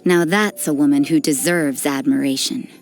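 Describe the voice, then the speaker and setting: An actress voicing an aloof, cynical young nun in a video game, speaking in a cool, dry, detached and unhurried manner. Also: female voice, sits low, steady tone, a little hiss or hum. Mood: wry, knowing. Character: aloof, cynical, worldly nun who does dirty work. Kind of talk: video game character voice lines. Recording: background music under the voice.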